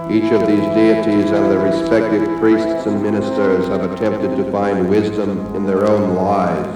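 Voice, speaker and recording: A man reading archaic occult prose in a solemn, portentous, oratorical way. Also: on the low side. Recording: very echoey, distant, music underneath. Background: music.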